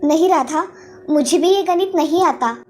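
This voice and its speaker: A woman's voice: high voice, animated, unhurried, a little mellow. A woman voicing a meek, hurt young stepsister girl in a submissive, pleading way for a cartoon moral story. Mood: meek, gentle. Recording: background music under the voice.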